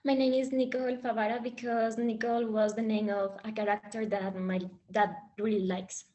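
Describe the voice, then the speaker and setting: A woman's voice: fairly high pitch, flat delivery, moderate pace, dark tone. A woman from Ecuador, answering practice exam questions hesitantly with frequent fillers. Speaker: exam candidate.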